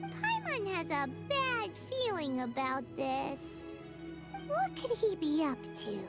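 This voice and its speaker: A woman voicing a small floating fairy girl companion in a video game, excitable and chattering. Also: extremely high pitch, takes it slowly, over loud music, heavily acted. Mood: suspicious. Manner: excitable, chattering, talks about herself by name.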